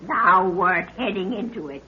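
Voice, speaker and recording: An actress playing an ancient, cackling prophetess who speaks in drawn-out archaic riddles in an old radio adventure serial. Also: female voice, a little high, pushed and bright, heavily acted. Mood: cackling, exultant. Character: ancient cackling prophetess of a hidden underground world. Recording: noisy, muffled old radio recording.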